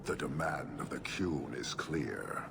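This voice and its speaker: An actor playing an imposing, stern warlord, speaking slowly and with menace in a deep, gravelly voice. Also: male voice, noisy background, heavily acted. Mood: menacing. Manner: slow, measured, menacing. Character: imposing male warlord leader, stern and cold.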